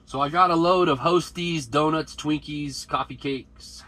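A man's voice: on the high side, unhurried. A man with an American accent, a truck driver chatting casually to camera while he cooks in his cab. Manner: relaxed, rambling, talks to camera while cooking. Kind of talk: casual vlog chat while cooking. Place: inside a truck cab at a truck stop.

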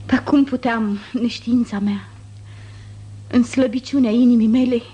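A woman's voice: on the high side, fairly even intonation, dark tone, muffled recording, lightly acted. An actress playing a proud, wounded noblewoman, formal, declamatory and reproachful, in 1950s radio theatre. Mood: wounded, bitter.

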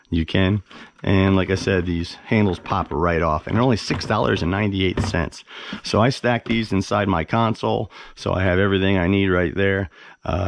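A man's voice: fairly low pitch, slight background noise. A man giving a casual, unscripted product review as an enthusiastic, plain-spoken host who repeats words for emphasis. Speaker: host of a fishing gear review channel. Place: carpeted living room at home, indoors.

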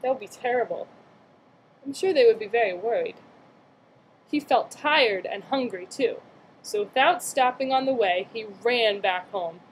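A woman's voice: on the high side, moderate pace, a little hiss or hum, rough recording. A young adult woman doing a read-aloud storytime for small children, playful, with chatty questions and exclamations. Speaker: aunt reading a picture book to young relatives. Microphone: computer webcam mic at arm's length.

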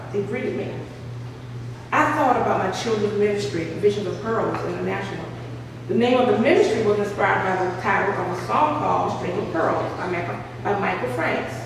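A middle-aged woman author speaking and reading aloud to a small audience in a cafe, emphatic and repeating words for stress. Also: medium pitch. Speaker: author at a book signing. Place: small art cafe, indoors. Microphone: far camera, no mic. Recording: echoey room from far off with noise.

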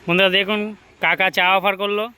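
A man talks quickly in a high, piercingly bright voice. He gives excited, casual running commentary out in the open, addressing his viewers directly like a vlogger. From West Bengal, India. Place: outdoors beside a pond.

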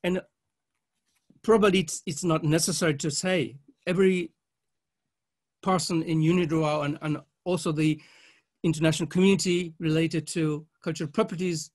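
High-pitched man giving a formal academic talk. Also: fairly even intonation, a little mellow. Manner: measured, halting delivery in short phrases. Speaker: conference speaker, legal academic. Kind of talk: formal academic conference presentation read with slides.

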